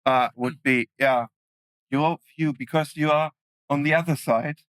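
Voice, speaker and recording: A man hosting a casual interview, asking halting questions full of fillers. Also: on the high side, steady tone, fairly bright tone, dry recording. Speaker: host.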